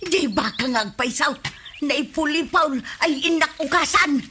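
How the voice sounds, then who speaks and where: A woman's voice: high voice, normal speed, pushed and bright. Flustered Ilocano village woman, noisy background. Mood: curious, wary. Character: curious, flustered village woman poking around in the brush. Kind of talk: Ilocano radio comedy drama acting.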